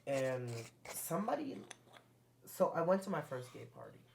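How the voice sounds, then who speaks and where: A man in his twenties, born in the Caribbean, telling a story on a casual podcast, halting and full of fillers. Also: fairly high pitch, big pitch swings, vocal fry, slight background noise. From Caribbean; born in Guyana, grew up in Antigua. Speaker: guest.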